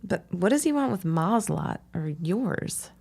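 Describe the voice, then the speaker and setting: An actress playing a plainspoken, spirited young shopkeeper in a period audio drama, with a wry, forthright manner. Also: female voice, mid-range voice, expressive, measured pace, vocal fry, a little mellow. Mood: wry, friendly.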